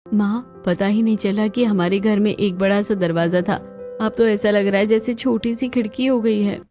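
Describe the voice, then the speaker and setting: A woman's voice: medium pitch, steady tone, normal speed, lightly acted. A young, gossipy sister-in-law a woman acting her out in a family drama story. She teases and mocks sarcastically. Music plays behind the slightly dull recording. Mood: mocking. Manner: teasing, sarcastic.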